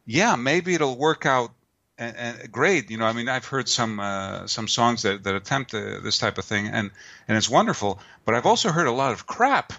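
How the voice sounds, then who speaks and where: A man's voice: mid-range voice, lively intonation, moderate pace, vocal fry, sharp tone. A middle-aged man answering interview questions at length, rambling with many fillers and restarts. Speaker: guest author and martial artist. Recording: dull lo-fi remote audio.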